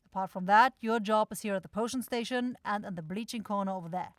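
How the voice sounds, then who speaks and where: A woman's voice: medium pitch, quick pace, no echo, natural voice. A bossy demon woman runs her shop with grumbling sarcasm, acted by a woman. She is brusque and exasperated, in the manner of a fantasy audio drama. The recording is close and free of room sound. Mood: brisk, instructing. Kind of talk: fantasy audio roleplay acting.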